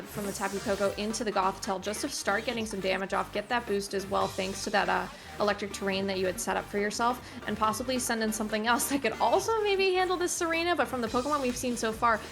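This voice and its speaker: A woman doing play-by-play commentary on a competitive match, brisk and analytical, now and then correcting herself. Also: medium pitch, gravelly. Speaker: esports commentator. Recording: music playing under the voice. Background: background music.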